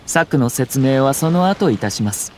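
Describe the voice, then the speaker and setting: A man voicing a calm, courteous and calculating young nobleman in an anime-style game, speaking formally with subtle mockery. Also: medium pitch, a little hiss or hum, close to an everyday voice. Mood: calm, strategic. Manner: polite, composed, measured formal speech.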